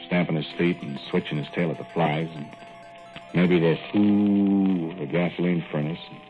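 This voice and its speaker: An actor playing a talkative older ex-plumber, spinning a folksy, rambling yarn in 1940s radio drama style. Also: male voice, rumbling low. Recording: tinny old radio broadcast with faint music.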